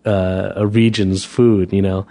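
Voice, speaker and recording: A man's voice: sits low, level tone, measured pace, mellow. A man, a restaurant owner chatting casually as a guest, often restarting and repeating words. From United States. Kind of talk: casual interview chat.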